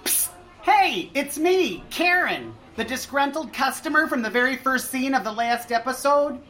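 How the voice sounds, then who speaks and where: A woman's voice: sits high, lively intonation, normal speed, fairly bright tone. A woman playing a disgruntled, foul-mouthed waitress who narrates a comedy radio play with brash, theatrical asides. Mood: gossipy, dramatic.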